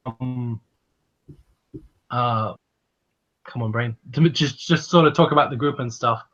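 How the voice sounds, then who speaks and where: A man's voice: medium pitch, unhurried, fairly bright tone. Hesitant Australian man on a lo-fi stream. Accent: Australian, a bit of American. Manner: hesitant, many restarts and fillers. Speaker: guest. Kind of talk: casual online stream chat. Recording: dull lo-fi stream audio.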